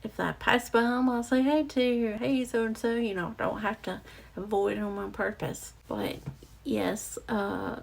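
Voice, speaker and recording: A young woman with a raspy voice telling a personal story to her viewers, halting and reflective, with many fillers and restarts. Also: a little high, lively intonation. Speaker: vlogger. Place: outdoors or near a street with passing cars. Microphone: close mic.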